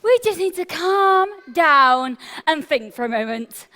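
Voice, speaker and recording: A woman's voice: sits high, expressive, a little slow. A woman playing an anxious, flustered queen in a pantomime, overwrought and melodramatic. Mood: nervous reassurance. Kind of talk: broad pantomime stage acting. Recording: echoey hall, noisy live stage recording.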